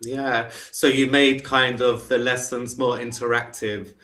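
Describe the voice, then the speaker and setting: A man with a London accent chats on a video call in a friendly, encouraging way. His voice sits at an ordinary middle pitch. He keeps the talk going with warm follow-up questions. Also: flat delivery, measured pace, over a noisy crowd. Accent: London English. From England. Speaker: online English tutor. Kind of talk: casual video call chat. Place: home in the UK.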